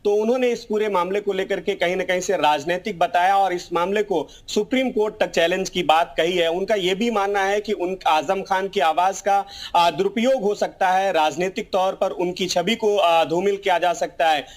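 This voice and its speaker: A man works as a field reporter on a live news report. His voice is high-pitched, and his phrasing is halting and circles back on itself. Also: steady tone.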